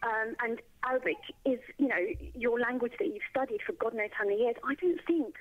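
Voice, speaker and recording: A woman's voice: a little high, fairly quick. A British woman giving her opinion informally and hesitantly as a caller to a radio phone-in. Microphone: telephone. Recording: tinny narrow phone line.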